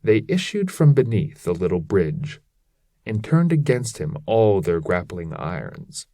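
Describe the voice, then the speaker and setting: A man reads epic poetry aloud in a dramatic, declamatory style. His pace is slow, his tone mellow and his pitch moves expressively, recorded close and dry. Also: big pitch swings, no echo. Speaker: reader.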